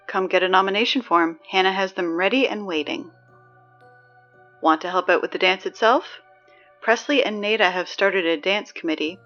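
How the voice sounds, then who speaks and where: A woman's voice: mid-range voice, fairly bright tone, highs cut off, natural voice. A woman playing a dry, sarcastic teenage girl who reads the school announcements in an audio drama. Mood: wry.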